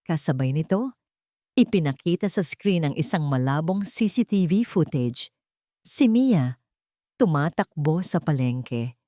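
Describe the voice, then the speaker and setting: Lady narrating a dramatic audio story, lowish voice, very dry sound with no room. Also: mellow, dry recording.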